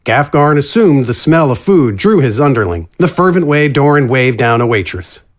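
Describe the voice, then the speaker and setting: A man's voice: medium pitch, very animated, normal speed, natural voice. A man narrating a scripted fantasy story as its narrator.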